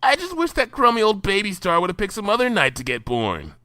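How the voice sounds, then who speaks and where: A man voicing a brash, sporty young pony mare who talks in a loud, cocky, impatient way. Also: sits high, huge pitch swings, brisk, pitched above the performer's own voice, exaggerated voice.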